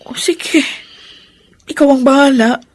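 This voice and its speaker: A woman playing a loving middle-aged mother in a Filipino radio drama, gentle and emotive. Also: sits high, steady tone, unhurried. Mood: concerned.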